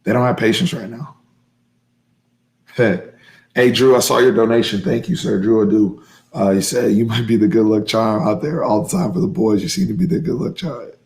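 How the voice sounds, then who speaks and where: Hoarse, gravelly man recapping a game. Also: fairly low pitch, normal speed. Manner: excitable, emphatic, repeats phrases. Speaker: host of a fan sports channel. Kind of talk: live-stream game recap, casual.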